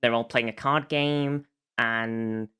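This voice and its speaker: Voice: mid-range voice, fairly even intonation, slow, pushed and bright, smooth. A podcast host recapping a show in casual conversation, feeling for words along the way.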